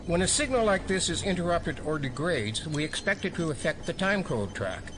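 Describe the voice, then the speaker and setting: A man's voice: on the high side, big pitch swings, normal speed, background noise. An American man playing a grumbling middle-aged conspiracy-minded tech geek in an audio drama. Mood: dry, explanatory. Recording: music behind.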